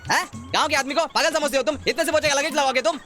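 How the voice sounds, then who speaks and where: A man acts out an argumentative villager in a comedy sketch. His voice is very high and piercingly bright, and he talks fast. He is indignant and hectoring, repeating his complaints. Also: soft background music. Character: belligerent, argumentative village man riding a bus. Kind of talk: broad film-style comedy sketch acting.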